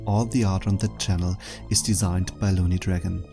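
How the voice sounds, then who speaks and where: Male credits narrator, deep register, scripted read over clear background music. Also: fairly even intonation, normal speed. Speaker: credits announcer.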